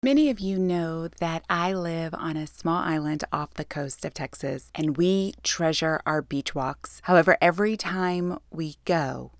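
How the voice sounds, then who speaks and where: A woman host reading a sponsor message in an upbeat, brisk way. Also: sits low, faint music behind.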